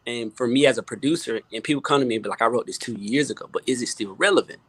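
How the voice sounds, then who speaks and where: A man speaking as a guest producer and songwriter in a casual panel chat, halting and repeating words as he thinks, often adding "you know". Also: mid-range voice, fairly bright tone, breathy edge, no room sound.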